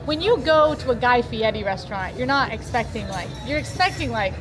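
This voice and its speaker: A woman's voice: a little high, lively intonation, fairly quick. A woman co-hosting a casual food review, chatty and enthusiastic. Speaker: co-host reviewing theme park restaurants. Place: outdoors at a busy shopping and dining area. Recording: music and crowd noise behind, outdoors.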